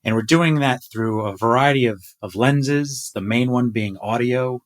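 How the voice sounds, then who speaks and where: A man's voice: medium pitch, measured pace. A man hosting a casual podcast conversation, playful and upbeat with a joking manner. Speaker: podcast host.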